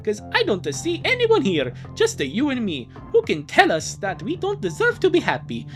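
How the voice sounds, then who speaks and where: A man's voice: extremely high pitch, wildly swooping pitch, moderate pace, fairly bright tone, soft background music, voice pushed up, heavily acted. A man voicing a lovestruck teenage boy who pleads earnestly and melodramatically in a fan comic dub. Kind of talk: hammy fan comic dub with bursts of singing.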